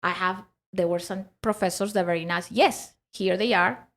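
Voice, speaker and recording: Animated woman with a Peruvian accent. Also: medium pitch, normal speed. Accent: Peruvian Spanish. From Peru. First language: Spanish. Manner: animated, laughs often, lively storytelling. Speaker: guest. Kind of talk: casual storytelling interview.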